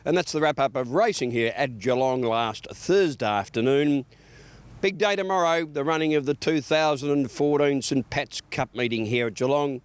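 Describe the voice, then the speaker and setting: A man's voice: mid-range voice, moderate pace, clear, resonant tone. An Australian man narrating a scripted horse racing report as a sports reporter.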